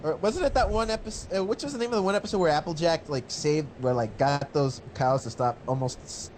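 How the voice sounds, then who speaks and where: A man's voice: fairly high pitch, quick pace, a little mellow, slight background noise. A man on a livestream interjecting casually, repeating words as he cuts in. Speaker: guest commentator on a livestream.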